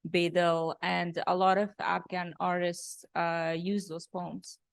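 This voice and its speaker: Low gravelly woman, flat delivery, dry sound, director at a Q&A, many fillers. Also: slow, dry recording. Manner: thoughtful, many fillers and restarts. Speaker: documentary director. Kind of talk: post-screening Q&A talk.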